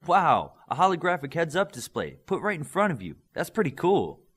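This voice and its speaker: Man voicing a friendly superhero mentor, lively intonation. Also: on the high side, moderate pace, husky, voice pushed up, full character voice. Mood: impressed. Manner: friendly, encouraging. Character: supportive, clever older male superhero mentor.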